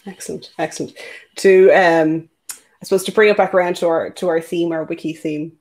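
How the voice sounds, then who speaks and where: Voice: medium pitch, fairly even intonation, fairly quick, a little mellow. Hesitant interview host full of fillers. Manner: hesitant, many "uh" and "you know" fillers. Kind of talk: casual interview.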